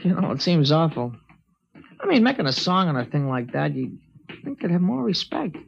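A man's voice: fairly high pitch, very animated, normal speed, creaky, breathy edge, exaggerated voice. An actor playing a thoughtful, earnest teenage boy who narrates a 1950s radio drama, from a dull old recording. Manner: earnest, reflective, somber.

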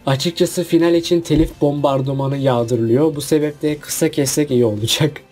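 A man talks through a countdown in a casual, chatty voice-over. His voice sits a little high but sounds mellow and husky. Faint music plays underneath. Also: steady tone, moderate pace. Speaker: video narrator. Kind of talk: list video voice-over.

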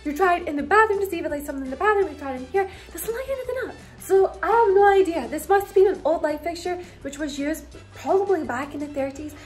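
A woman's voice: extremely high pitch, fast talker, hardly any pauses, soft background music. A young woman in her twenties giving a casual, giggly house tour to her viewers, chatting into a phone held at arm's length in a bedroom. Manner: giggly, bubbly, lots of "like" and "you guys".

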